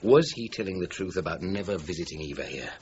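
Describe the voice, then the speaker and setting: Deep-voiced, probing British police inspector. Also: male voice, lively intonation, quick pace, darkish tone. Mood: musing, probing. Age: middle-aged. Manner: questioning, hesitant musing with grunts. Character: male police inspector, probing, polite, doggedly thorough. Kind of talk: British radio crime drama acting. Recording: dull lo-fi radio recording, faint noise.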